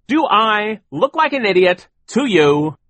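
Barking, bossy mill boss, wildly swooping. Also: male voice, high-pitched, sing-song, unhurried, fairly bright tone, dry recording, pitched above the performer's own voice, exaggerated voice. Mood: impatient. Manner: barking, dismissive, emphatic. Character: gruff, bossy, impatient male lumber mill boss.

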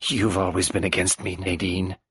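A man's voice: mid-range voice, wildly swooping pitch, slow, husky, voice pushed up, heavily acted. Brief: man reading as a venomous old matriarch, raised and sly, taunting, wheezy, swooping inflections. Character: malicious old domineering mother, woman.

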